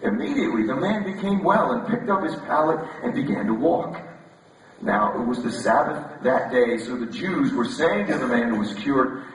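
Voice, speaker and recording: A male preacher with a scratchy voice gives a casual, joking sermon, repeating words as he restarts. His pitch rises and falls freely. He sounds distant, caught by a far mic in a big, echoing hall. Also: very animated, moderate pace. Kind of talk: relaxed, conversational sermon.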